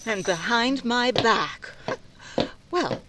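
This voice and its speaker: A woman's voice: fairly high pitch, animated, drawn-out words, background noise. A woman playing a jealous, bossy young fiancée in 1940s radio drama style, imperious and theatrical in manner. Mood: scornful. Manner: sharp, imperious, scornful.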